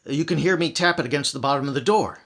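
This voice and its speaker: A man's voice: fairly high pitch, fast talker, noisy background, natural voice. A man acting in an old-time radio thriller as a desperate, scheming murderer who is urgent and commanding, by turns wheedling and menacing. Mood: urgent bargaining. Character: desperate, scheming male murderer trying to strike a bargain.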